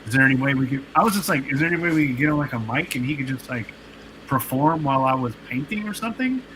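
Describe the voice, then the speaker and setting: A man in his late forties, a guest telling stories in a relaxed, rambling way with many fillers and restarts on a podcast. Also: mid-range voice, fast, slight background noise, rough recording. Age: 40s. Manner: laid-back, rambling, lots of fillers and restarts. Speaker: guest, producer and artist. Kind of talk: casual podcast interview storytelling.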